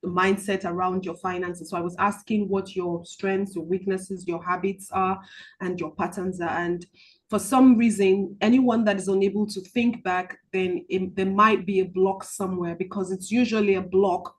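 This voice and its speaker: Woman money coach, video-call sound, hesitant and filler-heavy, gentle when guiding a breathing exercise. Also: medium pitch, level tone. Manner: halting, many filler ums and restarts; soft, slow guided-relaxation instructions. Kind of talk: online group coaching session with guided visualization.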